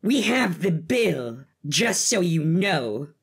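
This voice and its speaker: A man putting on a haughty creaky drawling duchess. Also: fairly high pitch, sing-song, takes it slowly, pitched above the performer's own voice, heavily acted. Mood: dry, haughty. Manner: imperious, theatrical, drawling. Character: haughty, angry aristocratic duchess, sharp-tongued wife. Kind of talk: audio fiction character voice.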